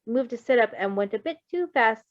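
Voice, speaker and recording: A dull, lo-fi recording of a woman reading fiction aloud. She is expressive and informal, with a giggly manner, and slips easily between narration and casual commentary. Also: a little high, fairly quick, no echo. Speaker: fan fiction reader. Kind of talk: expressive story read-aloud with casual reactions.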